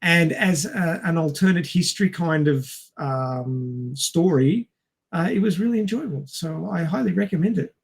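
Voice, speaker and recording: A man co-hosting a casual film discussion, speaking in a halting, hesitant way and stretching out words. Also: on the high side, lively intonation, gravelly, husky. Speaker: podcast co-host.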